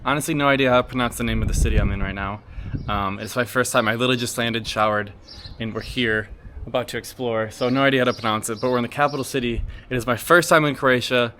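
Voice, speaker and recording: An American man speaks in an average-pitched voice, talking off the cuff as a travel vlogger. His delivery is informal and rambling, peppered with slang. He is recorded outdoors on a camera, with clear urban and vehicle noise around him. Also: steady tone, fast talker, pushed and bright. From United States. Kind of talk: casual unscripted vlog chat to camera. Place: outdoors walking through a city. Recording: clearly noisy outdoor city street with traffic.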